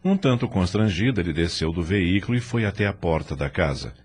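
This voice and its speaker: A man's voice: low voice, big pitch swings, brisk, husky. A man with a Brazilian accent giving scripted, dramatic narration for an audio novela.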